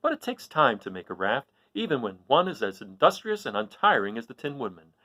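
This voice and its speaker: A man narrates a children's story aloud. His medium-pitched voice moves widely up and down, and his phrasing is expressive and emphatic. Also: brisk, no room sound.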